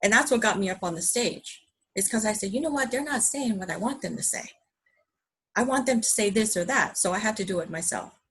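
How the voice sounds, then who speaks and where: A woman's voice: mid-range voice, animated, a little fast, a bit bright, husky, low-quality recording. A woman from Hawaii giving an earnest, enthusiastic motivational presentation, often asking her listeners rhetorical questions. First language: English. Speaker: business presenter.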